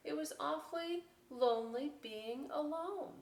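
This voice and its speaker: A woman reading a picture book aloud as a story time host, expressive, with chatty questioning asides to young listeners. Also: sits high, a little slow, recorded from a distance. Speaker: library story time reader. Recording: echoey room, away from the mic.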